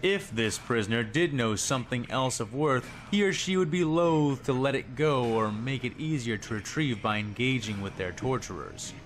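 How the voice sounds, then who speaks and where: A man's voice: medium pitch, lively intonation, normal speed, hardly any pauses. Man narrating a dramatic story over prominent music.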